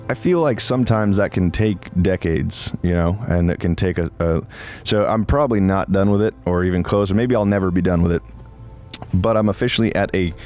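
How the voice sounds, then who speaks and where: A man in his late twenties giving a casual, confessional monologue, rambling and often halting and interrupting himself. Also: low-pitched, a little mellow. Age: late 20s.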